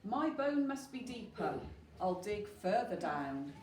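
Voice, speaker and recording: A woman's voice: mid-range voice, very animated, slow, darkish tone. Woman voicing an eager storybook dog, roomy recording. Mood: disappointed, determined. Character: eager, polite, bone-hunting cartoon dog. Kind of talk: rhyming children's storybook read aloud. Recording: roomy, distant mic with faint noise.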